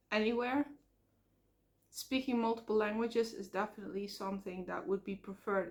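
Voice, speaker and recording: A woman from the Netherlands with a Dutch accent, talking in an earnest, rambling way with fillers and self-corrections in a personal vlog. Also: medium pitch. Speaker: vlogger. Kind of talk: casual personal vlog talk.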